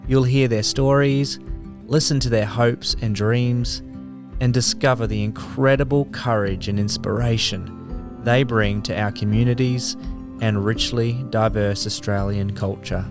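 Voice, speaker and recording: A man reads a scripted podcast introduction in a smooth, even voice at a mid pitch. Guitar music plays clearly beneath him. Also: unhurried. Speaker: podcast announcer.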